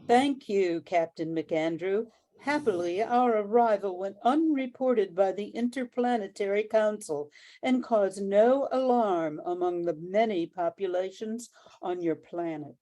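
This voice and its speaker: A woman playing a courteous alien leader in an amateur radio play, speaking formally and in a measured way. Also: mid-range voice, warm tone, natural voice.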